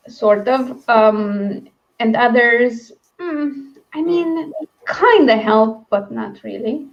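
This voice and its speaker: A woman's voice: a little high, lo-fi sound. A woman hosting a livestream book discussion in a casual, rambling, chatty way. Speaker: host of a book read-along livestream.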